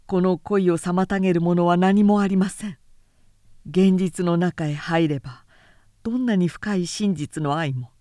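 A woman narrator plays a secret male lover, gentle and solemn. His words come slowly and hushed in a mellow, dark tone, measured and poetic, as in a literary audiobook. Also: lowish voice, dry recording, studio quality, natural voice. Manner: hushed, measured, earnest declarations of love. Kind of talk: literary audiobook character acting.

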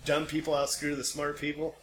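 A noisy amateur podcast recording of an American man with a bright, forceful voice. He chats casually as a co-host, in loose slang with some swearing. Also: fairly high pitch, completely flat delivery, fairly quick. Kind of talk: casual chat. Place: home studio. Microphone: podcast microphone.

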